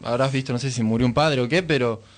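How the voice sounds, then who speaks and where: A man's voice: mid-range voice, quick pace, faint music behind. A man with an Argentine accent co-hosting a casual radio show.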